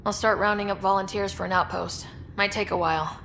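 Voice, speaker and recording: An actress playing a guarded, dry-humored young space explorer in a game, speaking directly. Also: female voice, medium pitch, steady tone, brisk, a bit bright, slight background noise, close to an everyday voice. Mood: dry, friendly. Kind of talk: cinematic game dialogue acting.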